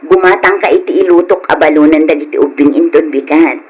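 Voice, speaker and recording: A woman's voice: sits low, a little fast, darkish tone, slightly rough. An actress playing a modest young wife and mother in a radio drama, pleading and hesitant, with a Filipino accent. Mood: pleading, coaxing. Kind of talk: Ilocano radio drama acting.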